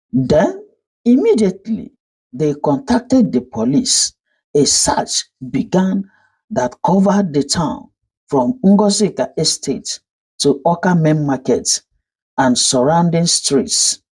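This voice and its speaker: A woman telling a scripted folktale as a storyteller, in a measured, dramatic manner. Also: deep voice, a little mellow, slightly rough, low-quality recording.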